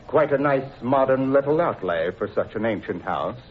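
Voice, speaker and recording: A man's voice: mid-range voice, animated, normal speed, narrow, tinny audio, background noise, natural voice. An actor playing a mad, vengeful male scientist villain in an old radio play, with a theatrical, gloating delivery and sinister chuckles. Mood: menacing. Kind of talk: 1940s radio acting.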